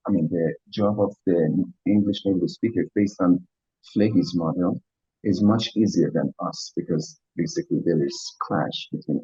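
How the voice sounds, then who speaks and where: A man with a Persian accent and a low, husky voice answers questions after a lecture. He talks hesitantly, with many fillers. The sound is dry and dull, like lo-fi lecture audio. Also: dark tone, no room sound. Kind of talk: academic Q&A answer.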